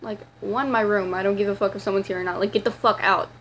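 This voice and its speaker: A teenage girl with a raspy voice, talking to her camera in a rambling, casual vlog full of fillers and restarts. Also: mid-range voice, steady tone, fast, fairly bright tone, slight background noise. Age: teens. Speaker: vlogger.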